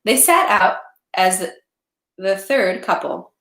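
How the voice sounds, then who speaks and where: Female amateur reader for literary narration, medium pitch, slightly unpolished delivery, recorded in a reverberant home room. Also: expressive, takes it slowly, a bit bright. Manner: unpolished amateur read-aloud, stumbles and rereads. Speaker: reader of a read-aloud series. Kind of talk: reading a classic novel aloud. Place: indoors at home, echoey room.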